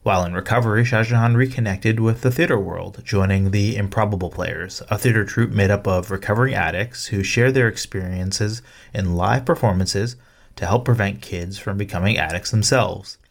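A man with a fairly low voice speaks as a podcast host, in the manner of introductions and interviews. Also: moderate pace, creaky.

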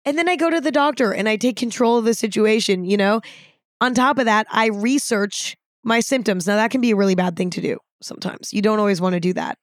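Raspy young woman, dry close sound. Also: mid-range voice, fairly quick, no echo. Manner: frank, self-deprecating, casual swearing. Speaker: podcast host. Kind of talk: candid solo podcast talk.

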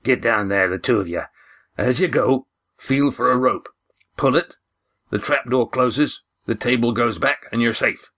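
A man's voice: mid-range voice, unhurried, fairly bright tone, pitched above the performer's own voice. Male reader as commanding old salt, folksy dialect, terse, wary, raised voice, storybook character. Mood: urgent.

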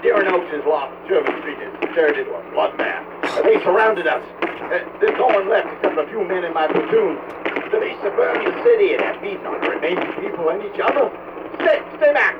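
Guy playing besieged army colonel, desperate, high urgent voice, horror radio play, tons of noise. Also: expressive, brisk, far from the mic. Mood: grim, urgent. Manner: urgent, breaking into screams. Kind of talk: horror radio drama acting. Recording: noisy battlefield radio or phone line.

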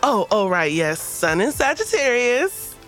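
A woman playing an excitable, sassy Earth woman in an audio drama, gushing and exclamatory. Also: fairly high pitch, measured pace, soft background music. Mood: flustered.

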